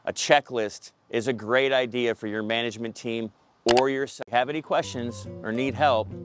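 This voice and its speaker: A man's voice: medium pitch, steady tone, normal speed, music in the background. A man in his fifties with a mid-range voice speaks as a service professional giving prepared tips. His delivery is plain-spoken and instructional, addressed straight to camera. He is recorded outdoors with a clip-on lapel mic. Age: 50s. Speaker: pest control company representative. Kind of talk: scripted advice to camera. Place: outdoors in a parking lot beside a pickup truck.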